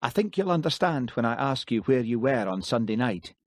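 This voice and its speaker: A man reading an audiobook, voicing a patient village policeman who asks his questions quietly and politely. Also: medium pitch, very animated, a little fast, dry recording, clean studio sound, pitched above the performer's own voice. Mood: calm. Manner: quiet, level, courteous questioning. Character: calm Scottish village policeman, patient and dry.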